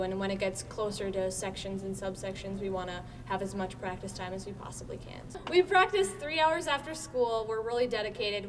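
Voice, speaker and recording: A young woman, speaking as a student actor in an informal, earnest and chatty interview. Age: teenager, high school student. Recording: echoey hall, distant mic, rumbling noise.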